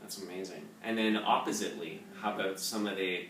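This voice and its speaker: A man, a composer, hosting a relaxed interview, chatty and loose with plenty of fillers. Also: low-pitched, a little fast. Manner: chatty, loose, lots of "you know" fillers. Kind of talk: casual interview chat. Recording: roomy echo, distant mic, faint noise.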